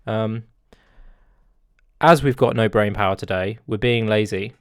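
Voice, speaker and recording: A man's voice: fairly low pitch, a little slow, clean tone, dry recording, studio quality. A man in his twenties hosting a podcast in a casual, rambling chat with many fillers and laughs. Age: 20s. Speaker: podcast host.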